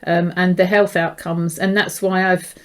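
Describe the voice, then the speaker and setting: Middle-aged woman, flat intonation. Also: lowish voice, a little slow. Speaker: interview host, behaviour profiling specialist. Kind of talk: conversational interview.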